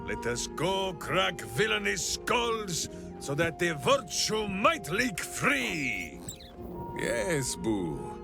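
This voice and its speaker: A man's voice: sits high, huge pitch swings, very slow, gravelly, full character voice. A man playing a big-hearted, simple warrior ranger in a video game, bombastic and oddly formal. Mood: musing, earnest. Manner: bombastic, refers to himself in third person, oddly formal phrasing. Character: big-hearted, simple heroic ranger warrior who talks through his hamster. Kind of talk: theatrical video game character acting. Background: background music.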